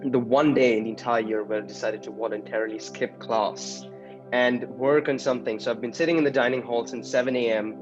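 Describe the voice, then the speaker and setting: A young man from Mumbai, a university student telling a story in a quick, joking way with restarts. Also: mid-range voice, lo-fi sound. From Mumbai, India. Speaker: international student. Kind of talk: storytelling interview answer.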